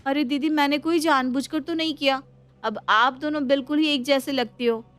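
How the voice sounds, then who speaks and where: A woman's voice: high voice, fairly even intonation, moderate pace, fairly bright tone, soft background music, pitched above the performer's own voice. A woman voicing a sly young sister-in-law in a story, putting on innocence and making excuses. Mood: mock innocent.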